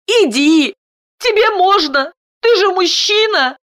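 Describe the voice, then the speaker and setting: A shrill, high-pitched wife, hysterical and sharp-tongued. Her voice whines and swoops dramatically, like a storybook shrew on the edge of tears. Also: lively intonation, measured pace, exaggerated voice. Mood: angry, shrill. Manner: shrieking, whiny, hissing.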